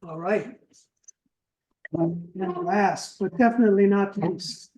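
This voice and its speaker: A male instructor moderates a classroom, briskly and upbeat, his voice sitting fairly high for a man. The sound carries the echo of the room around him. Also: darkish tone. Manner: upbeat, brisk, keeps things moving.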